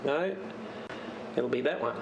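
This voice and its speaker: A man giving a casual, unscripted show-and-tell as a radio hobbyist, speaking haltingly and searching for words. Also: medium pitch, vocal fry, lo-fi sound. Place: home radio shack. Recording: roomy, distant mic with steady noise.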